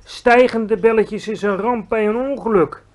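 A man voicing a big friendly male giant in a storybook reading, excitable, loud and drawn out, with muddled grammar. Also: high voice, pitched above the performer's own voice. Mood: lecturing. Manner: mangled grammar, loud exclamations, drawn-out words.

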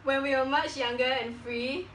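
A woman's voice: high-pitched, steady tone, normal speed. A young woman vlogger chatting casually to camera and making prank phone calls, with a giggly manner. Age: young adult. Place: small living room indoors. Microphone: camera a few steps away. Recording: roomy, noisy, distant camera microphone.

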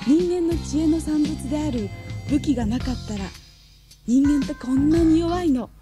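An actress playing a gentle, earnest young woman, a dreamy revolutionary, in a radio drama with a hesitant, sincere manner. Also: sits high, moderate pace, over music, natural voice. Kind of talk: Japanese radio drama acting.

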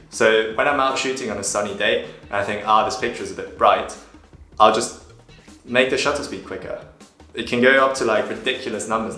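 A young man vlogging to camera from home, casually explaining photography step by step in a relaxed, chatty way. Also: fairly low pitch. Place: small room at home, indoors. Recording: echoey room.

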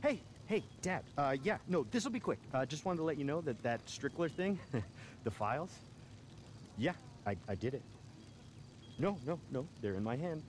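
A man's voice: fairly high pitch, very animated, a little hiss or hum. Swaggering, spoiled young rich guy. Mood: nervous, eager. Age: young adult. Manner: halting, profane, cocky. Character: spoiled, foul-mouthed young rich party boy. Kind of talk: video game cutscene acting.

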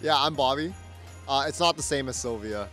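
A young man outdoors beside Christmas trees, speaking in a relaxed, reflective way, holding a mic. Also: medium pitch, normal speed, faint music behind. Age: young adult. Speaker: street interviewee. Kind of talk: casual chat. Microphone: handheld mic.

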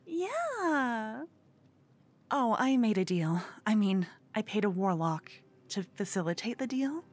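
A woman playing a cute, obsessed con-woman fangirl in a comedic ASMR roleplay, soft, often whispery, eager and cheekily salesy. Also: on the high side, very animated, slow, heavily acted. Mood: hopeful, flirty. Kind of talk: ASMR roleplay, comedic one-sided dialogue.

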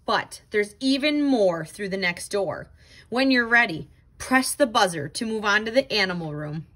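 A woman reading a children's picture book aloud in an expressive, dramatic, exclaiming way. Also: medium pitch, moderate pace. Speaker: storybook reader.

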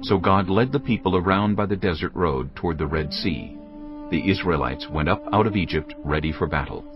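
Deep guy narrating the bible, slow and serious, loud music. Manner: measured, grave storytelling. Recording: dull sound under loud music.

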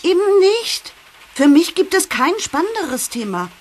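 A woman's voice: extremely high pitch, very animated, a little slow, darkish tone, a little hiss or hum, heavily acted. An actress playing a pushy, gushing, fashion-mad schoolgirl in a children's audio drama, insistent and dramatic.

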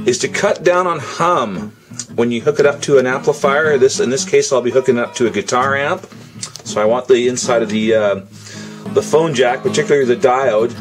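A man giving a casual hands-on demonstration as a hobbyist builder, in a halting, hesitant way. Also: mid-range voice.

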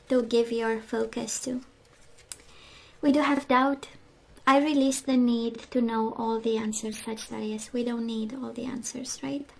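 A woman giving an intimate tarot reading monologue, halting, with phrases that trail into whispers. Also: on the high side, gravelly, darkish tone, slight background noise. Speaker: tarot reader.

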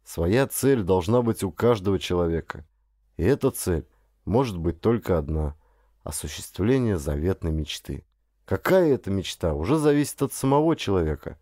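Animated male audiobook narrator. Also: deep voice, normal speed, dry recording, studio quality. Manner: expressive, dramatic storytelling. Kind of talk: scripted literary narration.

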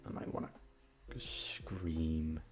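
A young man chatting casually in a rambling outro, halting and aimless, swearing freely and putting himself down. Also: a bass voice, level tone, faint music behind. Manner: aimless, halting, profane, self-deprecating. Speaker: audio voice actor, channel host.

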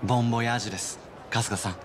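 A man's voice: mid-range voice, fairly even intonation, rapid-fire, noisy background, close to an everyday voice. A man voicing a modest, sincere young man with polite speech in a video game. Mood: sincere, grateful. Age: young adult. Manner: polite, soft-mannered speech. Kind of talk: Japanese video game voice acting.

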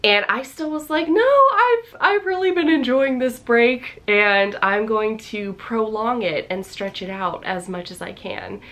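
A woman in her thirties vlogging casually from her home office, slightly hoarse after losing her voice, chatty and upbeat with lots of fillers. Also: on the high side, animated, unhurried, hardly any pauses, a bit bright. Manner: chatty, upbeat, many fillers and little laughs. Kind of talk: casual vlog chat. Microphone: camera on the desk facing her.

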